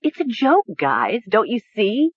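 A woman voicing a frantic young woman in denial, shrill, pleading and insistent, in an audiobook. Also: huge pitch swings, a little slow, husky, no echo, dull audio, heavily acted. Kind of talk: audiobook character acting.

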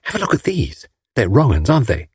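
A man voicing a lively, cheeky young woman who teases playfully. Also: mid-range voice, a little fast, breathy edge, no echo, clean studio sound, full character voice. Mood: teasing. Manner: bright, playful, teasing.

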